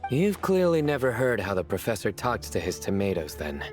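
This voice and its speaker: A man voicing a self-assured young joker in a video game, deadpan and boastful with a theatrical touch. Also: fairly low pitch, brisk. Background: soft game music.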